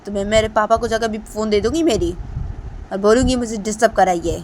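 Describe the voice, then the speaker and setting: A woman voicing a furious young woman who angrily scolds a repeated caller in a shrill, shouting voice. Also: fairly even intonation, brisk, slight background noise, lightly acted. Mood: scolding.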